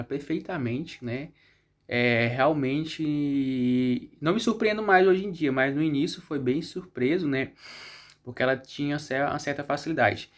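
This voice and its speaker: Brazilian guy doing a casual vlog chat, voice a bit on the high side, keeps checking in with little tags. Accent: Brazilian Portuguese. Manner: frequently checks in with tag questions. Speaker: vlog host.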